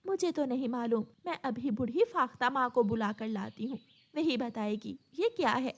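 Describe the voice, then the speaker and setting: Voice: mid-range voice, normal speed, natural voice. A woman playing a caring mother sparrow in a storytelling cartoon, speaking in a motherly, instructive way. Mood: puzzled. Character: caring mother sparrow gently teaching her little chicks. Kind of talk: Hindi storytelling cartoon voice acting.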